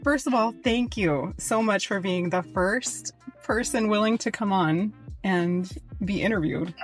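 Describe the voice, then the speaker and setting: A woman's voice: mid-range voice, measured pace, faint music behind. A woman hosting a casual podcast interview, effusive and rambling. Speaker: podcast host.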